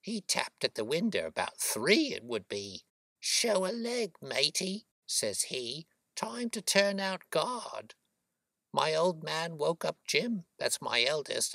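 A woman's voice: deep voice, creaky, a bit bright, dry recording, pitched above the performer's own voice, heavily acted. A man voicing a worried, talkative working-class London boatman's wife, chatty and deferential, in an audiobook story. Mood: confiding.

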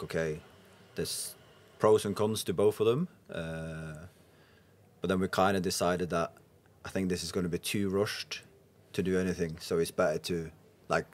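A man, speaking English casually as a podcast guest. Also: sits low. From Norway. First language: Norwegian. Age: 20s. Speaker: podcast guest, professional footballer. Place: indoor lounge booth. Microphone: podcast microphone on boom arm.

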